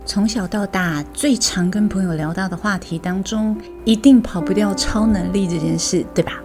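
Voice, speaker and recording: Casting: female Taiwanese story host, scripted narration, mid-low pitch for a woman, music underneath. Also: moderate pace, a little mellow. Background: music bed.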